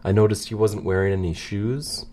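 A man co-hosting a radio show, chatting casually about music in a slightly halting way. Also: lowish voice, steady tone, mellow. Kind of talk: casual music chat between songs.

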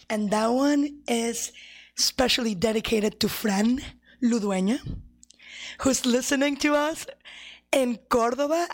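A young adult woman co-hosting a radio show, playful and quick to laugh, repeating phrases for emphasis. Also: on the high side. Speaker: radio co-host. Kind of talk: casual radio chat. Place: radio studio.

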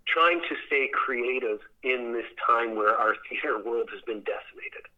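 A man's voice: mid-range voice, normal speed. A man answering interview questions as a theater director, speaking in a thoughtful, rambling way and repeating words as he searches for the next one. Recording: muffled radio or phone-call sound.

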